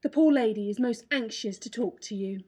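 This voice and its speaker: A no-nonsense village landlady, played by a woman, sharp of tongue yet kindly underneath. Her voice is fairly high with a dark, soft timbre, in old radio-play style. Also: brisk, lightly acted. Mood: concerned. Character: brisk, sour village landlady, scolding but sympathetic. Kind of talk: old-time radio drama acting.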